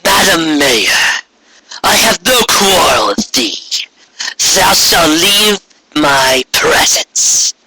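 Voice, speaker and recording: A man's voice: fairly high pitch, very animated, very slow, gravelly, noisy background, pitched above the performer's own voice, heavily acted. A man voicing a menacing, ancient female alicorn queen who speaks in archaic, formal commands in a fan fiction audiobook. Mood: regal, dismissive.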